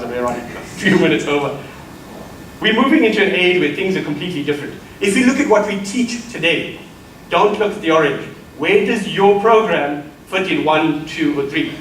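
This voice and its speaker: A man's voice: a little high, fairly bright tone, a little hiss or hum. A middle-aged South African man giving an emphatic keynote lecture, addressing the audience as colleagues. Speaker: keynote speaker. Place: lecture hall. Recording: roomy echo from far mic, faint ambience.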